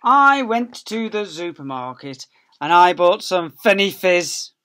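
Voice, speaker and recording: A man's voice: high voice, very animated, unhurried, bright, forceful tone. A middle-aged male teacher reading a picture book aloud to young children, playfully stretching key words and making sound effects, recorded on a laptop webcam in a small office. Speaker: school teacher. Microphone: laptop webcam at close range.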